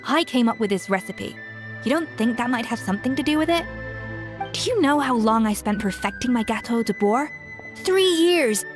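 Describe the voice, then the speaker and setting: A proud, brilliant woman chef lectures her protege in a brisk, scolding tone. An actress voices her. Her voice sits a little high, with faint game music behind. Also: lively intonation, lightly acted. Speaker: head chef, mentor. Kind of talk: game cutscene voice acting.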